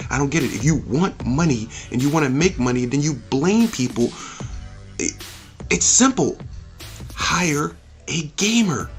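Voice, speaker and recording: A man hosting a review channel, speaking off the cuff in a blunt, emphatic, opinionated rant full of rhetorical questions and swearing. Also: medium pitch. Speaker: host of a movie and game review channel.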